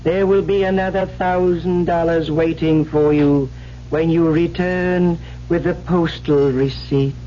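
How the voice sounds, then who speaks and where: A muffled old radio recording carries a cold alien schemer played by a man. His voice is fairly high and slow, formal and condescending, with a measured menace. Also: fairly even intonation, mellow, close to an everyday voice. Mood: calm, menacing. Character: cold, superior male alien plotting humanity's destruction. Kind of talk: 1970s radio drama acting. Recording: dull, faintly hissy old radio recording.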